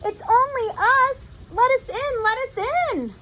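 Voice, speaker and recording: A woman voicing an excited family crowd, exclaiming loudly in a storybook reading. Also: high voice, normal speed, noisy background. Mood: eager, pleading. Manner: loud, exclaiming. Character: excited crowd of relatives shouting birthday greetings.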